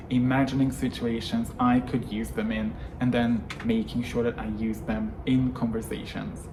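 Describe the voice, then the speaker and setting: A man whose first language is Hungarian delivers a scripted English lesson to camera. His pitch sits mid-range, and he leans hard on each word in his lists. A faint hum of background noise runs underneath. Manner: emphatic, stresses words in lists. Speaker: English coach. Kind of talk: scripted YouTube lesson monologue.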